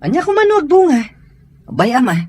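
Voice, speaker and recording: A woman's voice: high-pitched, big pitch swings, normal speed, mellow. A woman playing a brash, carefree young male driver and boyfriend in an audio drama. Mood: playful. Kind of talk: Ilocano audio drama acting.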